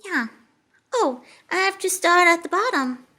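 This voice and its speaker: A puppeteer voicing a sweet, excitable childlike lamb puppet with a squeaky voice and halting, giggly manner for a children's show. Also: heavily acted. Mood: cheerful.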